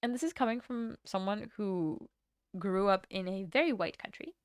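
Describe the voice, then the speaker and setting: A young woman from Latvia streams from her home setup and chats loosely with her viewers, drifting between topics and dropping casual swears. Her voice sits at an ordinary middle pitch. The sound is close and dry, with no room echo. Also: expressive, normal speed, clean tone. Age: young adult. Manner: chatty and rambling, swears freely. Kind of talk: casual live-stream chat.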